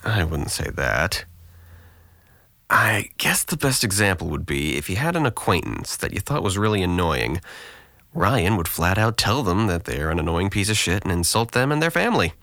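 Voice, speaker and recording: Audio drama actor for a wry, weary young man in therapy. Deep, creaky voice, dry close sound. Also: big pitch swings, normal speed, pushed and bright, no room sound, exaggerated voice. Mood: sardonic, exasperated. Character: depressed young man in therapy, guarded, wry, self-deprecating.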